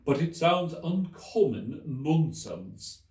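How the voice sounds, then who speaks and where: Slow, plaintive mock turtle creature. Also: male voice, fairly high pitch, very animated, darkish tone, pitched above the performer's own voice. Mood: querulous, puzzled. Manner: slow, drawn-out, plaintive delivery. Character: mournful, easily offended male mock turtle creature. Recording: echoey room, distant mic.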